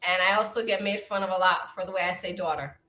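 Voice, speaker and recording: A woman's voice: on the low side, fairly even intonation, fast talker, reverberant. A middle-aged woman with a Brooklyn New York accent, chatting casually while giving oracle card readings on a live stream. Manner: chatty, warm greetings to viewers, frequent hearty laughs.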